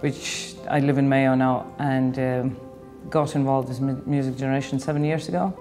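A man's voice: mid-range voice, steady tone, moderate pace, a little mellow. A man from Latvia with a Latvian accent, a music educator answering interview questions hesitantly with many fillers.

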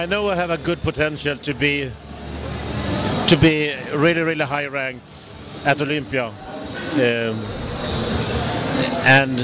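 Swedish man talking haltingly at expo. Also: a little high. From Sweden. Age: 40s. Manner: halting, non-native grammar, frequent restarts. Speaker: bodybuilder guest. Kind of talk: casual interview answers. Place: busy expo hall booth. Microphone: handheld mic held by interviewer. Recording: noisy trade show floor.

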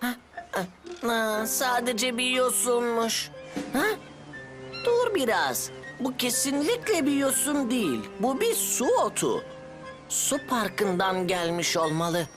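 A woman voicing an eager, naive young male police officer in a cartoon, excitable and hesitant when caught out. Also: fairly high pitch, slow pace. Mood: curious. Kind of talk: animated cartoon dub acting. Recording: music behind the voice.

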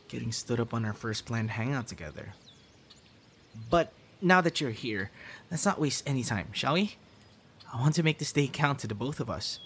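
A man's voice: lowish voice, slight background noise, lightly acted. Man acting a friendly, coaxing young guy. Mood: playful, warm. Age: young adult. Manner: earnest, coaxing, sighs and breathy reactions. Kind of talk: ASMR audio roleplay, one-sided dialogue to listener.

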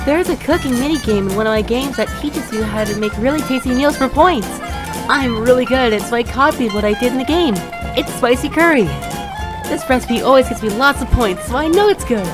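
High-pitched eager little lizard kid, played by a woman. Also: a little fast, close to an everyday voice. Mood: eager, earnest. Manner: earnest, childlike. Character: cheerful young child lizard creature, proud amateur cook. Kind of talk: cartoon comic dub acting. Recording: music behind the voice.

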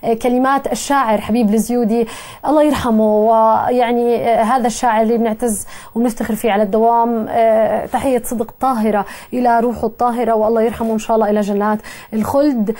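A Jordanian woman in her thirties hosts a live television call-in show from a studio desk, with a lapel mic. Her voice sits high and she talks fast. She moves briskly from caller to caller in a friendly way. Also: flat delivery, dark tone. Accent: Jordanian Arabic. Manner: brisk, friendly, gestures while talking.

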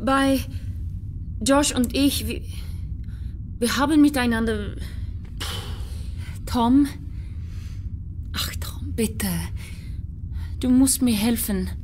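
A woman's voice: fairly high pitch, extremely slow, long pauses, darkish tone, a little hiss or hum. An actress playing an anxious woman who pleads urgently in a German radio crime drama. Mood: pleading, anxious. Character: anxious, pleading young woman afraid for her lover.

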